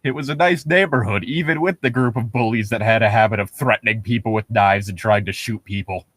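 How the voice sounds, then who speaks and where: A man's voice: fairly low pitch, fairly quick, fairly bright tone, no echo. A man bantering casually and reading aloud with sarcastic commentary, often breaking into amusement. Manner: wry, sarcastic, laughs often. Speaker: co-host reading and mocking online horror fiction.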